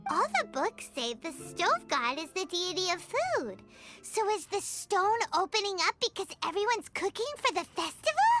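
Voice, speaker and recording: A woman voicing a tiny childlike companion sprite in a video game, chirpy, inquisitive and excitable. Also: very high-pitched, moderate pace, music in the background. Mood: curious. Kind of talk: video game character acting.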